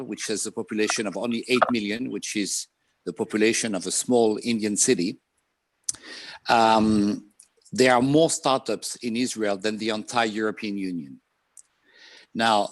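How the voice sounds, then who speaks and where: Man, French accent, low voice, dry sound, measured panel talk with pauses. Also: no room sound. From France. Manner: measured, pauses with "um" between points. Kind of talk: panel presentation on a video call.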